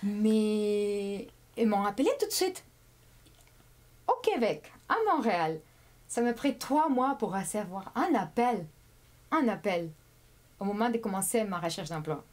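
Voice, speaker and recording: A woman whose first language is Spanish, a guest chatting casually in an interview, often pausing, repeating words and laughing. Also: mid-range voice, lively intonation, a little mellow. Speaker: immigrant guest.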